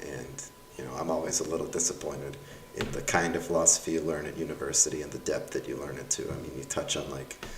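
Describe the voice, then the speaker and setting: A man's voice: a bass voice, creaky, a little hiss or hum. A young adult man, a university instructor whose first language is Russian, reads aloud his course evaluations in a casual, wry, self-mocking way with lots of fillers. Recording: roomy sound from a distance.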